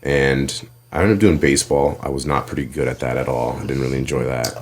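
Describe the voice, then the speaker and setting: A young man from Connecticut, a guest in a casual interview, telling relaxed, rambling stories about his past. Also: very deep voice, fairly quick.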